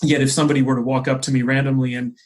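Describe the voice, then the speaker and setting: A man speaking as a podcast guest in a conversational business discussion, explaining ideas in measured, hesitant phrasing. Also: mid-range voice, flat delivery, a little mellow. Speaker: podcast guest, marketing executive.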